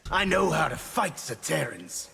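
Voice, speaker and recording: A man playing an arrogant, brutal army officer in a video game, gruff and contemptuous. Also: fairly high pitch, big pitch swings, a little slow, pushed and bright, soft background music, full character voice. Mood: contemptuous, boastful. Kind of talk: video game character dialogue.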